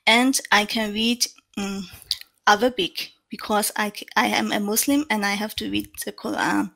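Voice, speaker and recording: A woman's voice: mid-range voice, fairly even intonation, normal speed, gravelly, a bit bright. A woman in her thirties from Myanmar with a Burmese accent, answering interview questions over a video call with many fillers and restarts. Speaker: interpreter guest.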